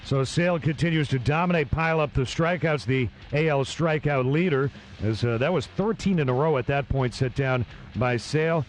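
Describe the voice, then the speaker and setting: Man, mid-pitch, recap narrator, even matter-of-fact delivery, faint noise behind. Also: moderate pace. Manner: steady, matter-of-fact summarizing. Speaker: recap host.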